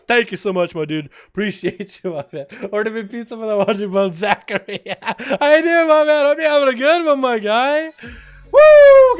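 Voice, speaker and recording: Excitable streamer with a slight Russian accent. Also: male voice, very high-pitched, clean tone. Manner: excitable, hollers and swears when thanking viewers. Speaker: streamer hosting duels. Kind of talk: live game stream banter.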